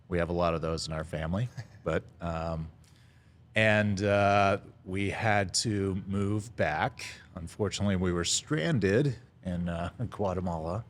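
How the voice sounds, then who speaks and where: A middle-aged man with a very low voice tells his story in a relaxed interview. He talks haltingly, filling gaps with "uh" and "um." He lets out an easy chuckle here and there. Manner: halting, lots of "uh" fillers, chuckles now and then. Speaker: restaurant founder, podcast guest.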